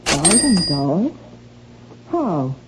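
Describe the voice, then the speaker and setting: A woman's voice: fairly low pitch, sing-song, very slow, full character voice. A woman playing a guarded, indignant housewife in an old radio drama, speaking in a curt, wary, defensive way. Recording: muffled, noisy old radio recording.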